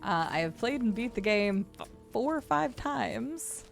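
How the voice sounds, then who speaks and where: A young adult woman with a gravelly voice and deep vocal fry, chatting casually while streaming a game. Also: lively intonation, measured pace. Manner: chatty, swears and laughs at the game. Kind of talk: casual live-stream chat while gaming. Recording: faint game music behind.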